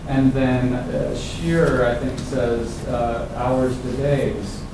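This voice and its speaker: A man's voice: mid-range voice, fairly even intonation, takes it slowly, background noise. A man on an academic panel, speaking hesitantly with frequent uhs. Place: conference room. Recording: echoey room from far off.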